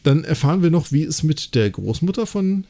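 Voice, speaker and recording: Guy podcast host, mellow kinda creaky voice, chatty recap, keeps tagging questions on the end. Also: on the high side, sing-song, fairly quick. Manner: conversational, frequent tag questions seeking agreement. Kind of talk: casual discussion and recap of an audio drama.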